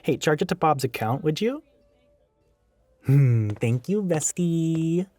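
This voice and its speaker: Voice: mid-range voice, lively intonation, takes it slowly, dark tone, no echo. Performer doing a bossy flirty scheming store coworker, sarcastic, really swoopy voice, close dry mic w music behind. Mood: mocking. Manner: sarcastic, teasing, swinging from barking to cooing. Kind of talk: acted audio roleplay monologue to listener.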